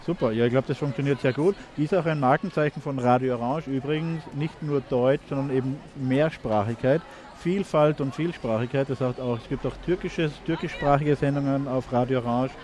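A man's voice: mid-range voice, fairly quick, hardly any pauses, a little mellow. A man hosting a live community radio interview outdoors, upbeat and encouraging. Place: outdoors at a street festival.

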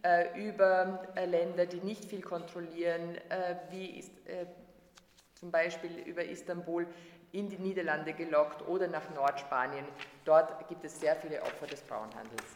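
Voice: mid-range voice, steady tone, normal speed. An interpreter translating a lecture, hesitant with frequent fillers and restarts. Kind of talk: consecutive interpreting. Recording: echoey hall.

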